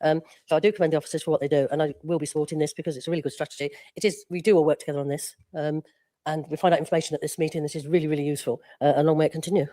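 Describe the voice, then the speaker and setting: A chatty councillor praises officers in a council meeting, often repeating words. The voice is mellow and dry-sounding, and the pace is very quick. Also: medium pitch, steady tone, no room sound. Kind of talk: formal speech in a council meeting.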